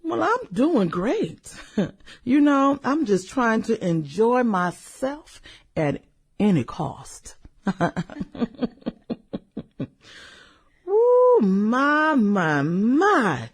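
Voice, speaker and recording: Brash, animated, flirty businesswoman played by a woman. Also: fairly high pitch, husky, heavily acted. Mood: delighted, flirty. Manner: sassy, slangy, drawn-out interjections. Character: brash, flirty, fun-loving high-powered businesswoman in her late thirties. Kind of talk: satirical radio drama acting.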